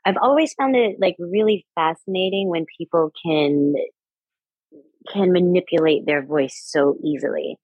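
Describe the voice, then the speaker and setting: A woman's voice: on the low side, darkish tone, slightly rough, no echo, muffled recording. A woman in her forties, a sex educator, talking enthusiastically in a casual interview and laughing easily. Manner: enthusiastic, upbeat, quick to laugh.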